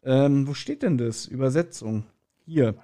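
A man's voice: mid-range voice, a little slow, mellow. A man co-hosting a podcast in casual chat, with dry, self-deprecating banter. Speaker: podcast co-host.

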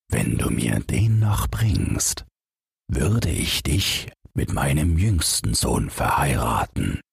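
A man voicing a courteous, coaxing fairy tale king in a storybook reading, husky and gravelly, with a measured courtly manner. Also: extremely low pitch, no echo, studio quality, exaggerated voice.

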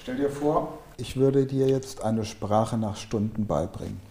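A man's voice: medium pitch, measured pace, dark tone. A man as an interview guest, a business mentor who explains his work in a conversational way and tells anecdotes.

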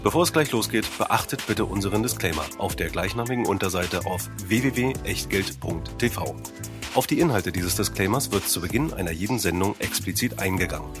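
A man with a low voice delivers a formal, scripted welcome to a podcast. His reading is measured and even. A clear music track plays behind him. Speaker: podcast announcer. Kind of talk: scripted intro announcement. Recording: voice over music bed.

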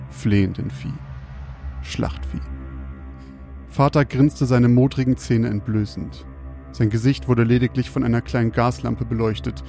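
A man with a slightly creaky voice, hosting and telling stories on a podcast. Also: mid-range voice. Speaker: podcast host and storyteller. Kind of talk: casual banter and scripted horror storytelling.

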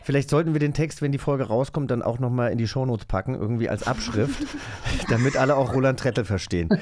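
A man's voice: medium pitch, lively intonation, quick pace, darkish tone, a little hiss or hum. A man talking casually as a podcast host in a conversational interview.

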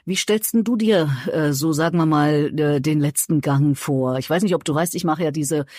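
A woman's voice: low voice, normal speed, darkish tone, dry recording, studio quality. A woman hosting a podcast, interviewing in a casual, informal and engaged conversational way.

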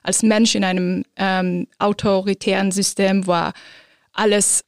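Woman speaking german, lots of uh and um, kinda gravelly, dry mic. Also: medium pitch, level tone, dry recording. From Iran. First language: Azeri. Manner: thoughtful, halting with many fillers. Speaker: guest, philosopher of religion. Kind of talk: interview answers.

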